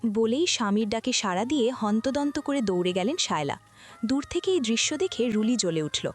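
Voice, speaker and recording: A woman's voice: on the high side, animated, fairly quick. A woman reading scripted story narration as the narrator. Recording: music behind the voice.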